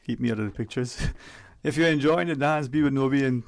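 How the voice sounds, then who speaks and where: A man hosting a radio talk show, jokey and quick with brief interjections. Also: medium pitch, vocal fry. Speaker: radio host.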